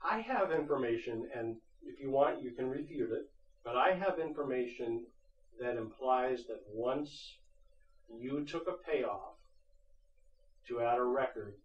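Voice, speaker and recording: A man putting deliberate questions as an interviewer, heard off-mic. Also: mid-range voice, animated. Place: indoors, off camera. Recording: distant, roomy, dull off-mic sound.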